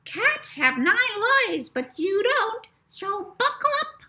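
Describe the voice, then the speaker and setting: A woman's voice: very high-pitched, very animated, takes it slowly, bright, forceful tone, pitched above the performer's own voice, heavily acted. A woman voicing a chirpy cat in a recorded taxi safety announcement, in a sing-song theatrical way. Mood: chirpy warning.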